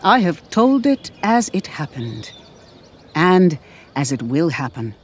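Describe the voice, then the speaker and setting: An aged woman historian, played by a female narrator, speaks in a low, slightly cracked voice. She is very unhurried and oratorical, with sly mischief and quiet authority, like a storybook elder telling a myth. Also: very animated, full character voice. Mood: solemn, exhortatory. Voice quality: a little cracked and weary.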